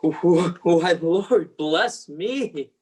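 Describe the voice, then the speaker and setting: A husky-voiced, humble gentleman of Victorian London acted by a young performer in an old radio play. The delivery is flustered and very deferential, sitting at a middling pitch. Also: lively intonation, very slow, full character voice. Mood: astonished. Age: young adult. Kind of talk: 1930s radio play acting.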